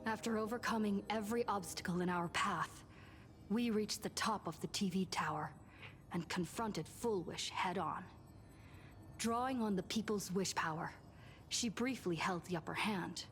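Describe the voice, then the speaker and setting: A woman playing a composed young game heroine, narrating past events in a measured, serious scripted style. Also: mid-range voice, close to an everyday voice. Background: music.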